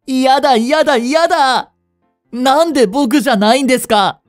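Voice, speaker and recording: An actor playing a young genius swindler in a romantic audio drama, lovestruck, jealous and boyishly flustered, speaking politely. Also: male voice, very high voice, normal speed, no room sound, clean studio sound, faint music behind. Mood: shocked, desperate. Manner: polite speech, stammering when flustered. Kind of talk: romantic audio drama acting, addressed to the listener.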